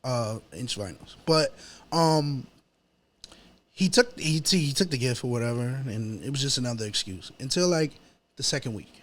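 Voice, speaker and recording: A man's voice: medium pitch, animated, moderate pace. A man from New York with a New York accent, a rapper telling stories casually and slangily as a podcast guest. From New York City. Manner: slangy, repetitive, peppered with "you know" and "yo". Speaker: hip-hop artist, podcast guest. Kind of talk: casual storytelling in an interview.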